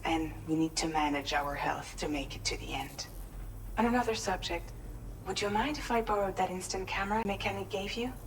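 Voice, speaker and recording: A woman playing a caring, composed crew leader, speaking gently and in an orderly way in a video game. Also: fairly low pitch, slight background noise, close to an everyday voice, rough recording. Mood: light, friendly. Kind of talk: video game cutscene dialogue.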